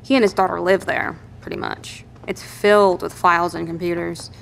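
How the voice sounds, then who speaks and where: An actress playing a helpful, matter-of-fact military lieutenant in an audio drama, speaking briskly and plainly. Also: female voice, fairly low pitch, fairly even intonation, vocal fry, fairly bright tone, a little hiss or hum, rough recording. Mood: businesslike. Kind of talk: naturalistic audio drama dialogue.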